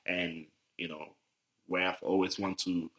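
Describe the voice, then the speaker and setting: Casual chat, deep easygoing young man from ghana, crisp bright sound. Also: level tone, measured pace, low-quality recording. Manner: easygoing, many fillers, frequent laughs. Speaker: guest, jiu-jitsu athlete. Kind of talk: casual podcast interview.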